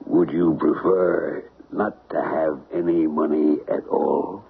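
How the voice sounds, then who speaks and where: A man playing a crafty, smooth-talking diamond merchant in a radio mystery, with measured, deliberate, courteous speech. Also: extremely low pitch, steady tone, full character voice. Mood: cold menace. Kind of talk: old-time radio mystery acting. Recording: muffled vintage radio broadcast, faint hiss.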